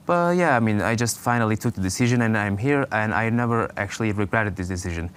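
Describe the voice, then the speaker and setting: A man's voice: fairly low pitch, fast, fairly bright tone, clear, resonant tone. A man in his twenties with a Turkish accent, answering interview questions in a hesitant way, with many fillers and restarts. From Turkey. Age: 20s. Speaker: guest.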